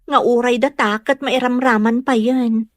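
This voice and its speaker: A woman's voice: fairly high pitch, steady tone, normal speed, no room sound, clean studio sound, close to an everyday voice. A woman playing an earnest, stubborn young village woman, hurt by gossip, in an audio drama. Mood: sad, grateful. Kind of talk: Ilocano audio drama acting.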